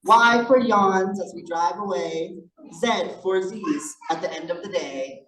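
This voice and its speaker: A person reading picture books aloud to children in an animated, encouraging way, with chatty asides. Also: medium pitch, unhurried. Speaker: drag storytime reader. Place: echoey indoor room, library event. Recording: roomy, noisy, distant mic.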